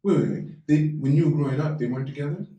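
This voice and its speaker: A man hosting a podcast, pressing his guest with quick follow-up questions and cutting in often. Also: on the high side, dark tone. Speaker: podcast host. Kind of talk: probing interview. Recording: echoey room, distant mic.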